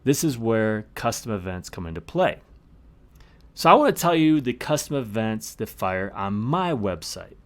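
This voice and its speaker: A man's voice: fairly low pitch, very animated, normal speed. Man hosting an instructional podcast. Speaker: podcast host. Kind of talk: scripted podcast monologue explaining tips.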